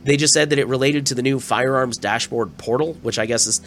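A man's voice: medium pitch, a little fast, a bit bright. Stuffy-nosed man with a cold. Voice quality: slightly scratchy. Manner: plain, conversational, with asides. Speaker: podcast host. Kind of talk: news podcast reading.

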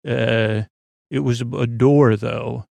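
A man's voice: medium pitch, steady tone, slow, vocal fry, a little mellow, dry recording. A man hosting a sleep podcast, rambling through a meandering monologue full of restarts and tangents. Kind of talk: rambling late-night monologue.

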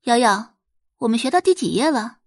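A woman's voice: a little high, lively intonation, moderate pace, dry recording, studio quality. Woman as a confident, cool teenage transfer student. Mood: friendly, confident. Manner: cool, assured, direct. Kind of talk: audiobook character acting.

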